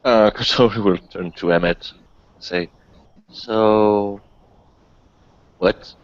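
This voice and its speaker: A man casually roleplaying a character in a halting, tentative way, on a muffled recording. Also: fairly low pitch, dry recording. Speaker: tabletop roleplaying player.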